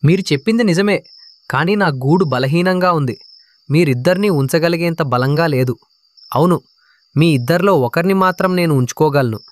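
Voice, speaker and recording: A man's voice: on the high side, moderate pace, no room sound, natural voice. A man voicing a wary, reluctant male parrot in a children's cartoon story.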